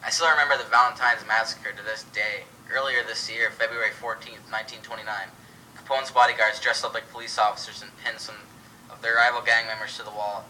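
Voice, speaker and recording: A man's voice: on the low side, little pitch movement, fairly quick, pushed and bright, a little hiss or hum, poor audio. A young man reading scripted lines as co-host of an amateur mock radio show. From Canada.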